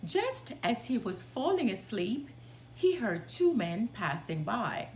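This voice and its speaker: A woman reading a children's story aloud as a storytime host, with expressive, deliberate delivery. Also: a little high, a little hiss or hum.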